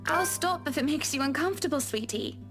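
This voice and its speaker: A man's voice: animated, a little fast. Coy teasing lady, as a man puts her on. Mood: flirty teasing. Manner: playful, coy, sing-song falsetto. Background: game music.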